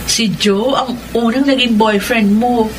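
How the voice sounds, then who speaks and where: An actress playing a doubting, teasing young friend in a Filipino radio drama, with a Manila Tagalog accent. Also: female voice, a little high, steady tone, unhurried, natural voice. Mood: skeptical, teasing. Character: skeptical, teasing young female close friend. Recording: noisy ambience behind the voice.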